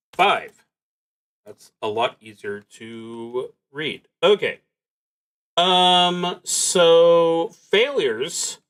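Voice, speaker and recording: A man hosting a solo tabletop roleplaying stream, talking casually and haltingly as he thinks aloud, with long pauses. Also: fairly high pitch, fairly bright tone, clear, resonant tone.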